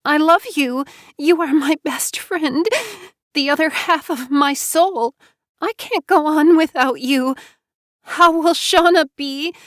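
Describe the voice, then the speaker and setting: A woman's voice: high voice, slow pace, a bit bright, no echo, clean studio sound, pitched above the performer's own voice. A woman voicing a grieving young woman who pleads tearfully in a storybook reading. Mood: desperate pleading.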